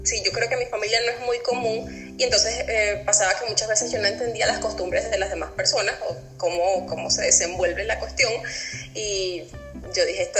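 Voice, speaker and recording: A woman from Venezuela, a sociologist guest, answering thoughtfully with pauses and easy laughs. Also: a little high, bright, forceful tone. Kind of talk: casual interview answers.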